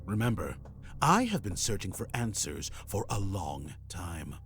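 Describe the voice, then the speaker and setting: An actor playing a grave, mysterious ex-criminal informant and desperate father in an adventure game, speaking cryptically and urgently, with phrases that drop toward a hush. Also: deep voice, big pitch swings, measured pace, exaggerated voice. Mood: solemn, warning. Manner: cryptic, urgent, dramatic delivery with hushed line endings. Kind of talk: dramatic point-and-click adventure game voice acting. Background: music.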